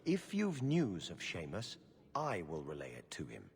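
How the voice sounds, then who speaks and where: A man's voice: low voice, wildly swooping pitch, normal speed, slightly rough, long reverb tail, heavily acted. An actor playing a stiff, haughty male court official who speaks in a formal, curt and officious way.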